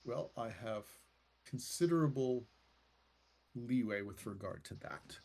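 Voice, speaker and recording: A man improvising as game master of a tabletop roleplaying game, speaking in a halting, deliberate way with many pauses. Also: medium pitch, lively intonation, darkish tone. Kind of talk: improvised in-character narration.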